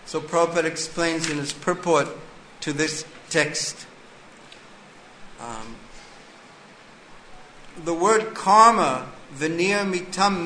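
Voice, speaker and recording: Male scripture teacher, slightly high voice, very slow and deliberate delivery, echoey room. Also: pushed and bright, slight background noise. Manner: slow, deliberate, reads text then explains. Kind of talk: scripture lecture.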